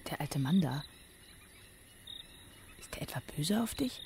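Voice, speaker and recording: A woman's voice: sits low, lively intonation, a little slow, a little mellow, a little hiss or hum. Curious, blunt young girl. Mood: curious, puzzled. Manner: colloquial, questioning, childlike. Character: curious, blunt young daughter of a war veteran. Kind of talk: naturalistic radio drama dialogue.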